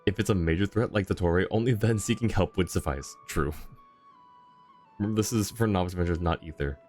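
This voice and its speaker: A man with a low voice livestreams a video game. He talks casually and wryly, as if chatting with viewers, while faint game music plays underneath. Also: fast talker, a little mellow. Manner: chuckling, wry, conversational.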